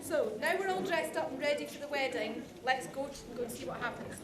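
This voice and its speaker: A woman's voice: sits high, fairly quick, a loud crowd. A woman giving an informal presentation in a chatty way, speaking directly to her audience. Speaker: conference presenter. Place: large conference hall. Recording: echoey hall, some background noise.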